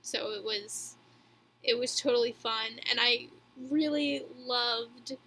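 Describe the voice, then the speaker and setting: High, bright young woman, unhurried. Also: slight background noise. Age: 20s. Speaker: podcast co-host. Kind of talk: casual chat.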